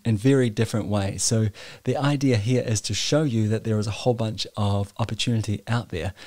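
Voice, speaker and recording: A man's voice: lowish voice, a little mellow, no echo. A man, hosting a podcast and telling his own story in a candid, self-deprecating way, with small restarts. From New Zealand. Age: 40s. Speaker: podcast host. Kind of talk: personal storytelling monologue.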